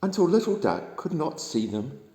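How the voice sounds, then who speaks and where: A man with a New Zealand accent reads a children's bedtime story aloud in an expressive, dramatic storytelling manner. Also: fairly high pitch, moderate pace. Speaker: storybook reader. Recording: echoey room with long reverb.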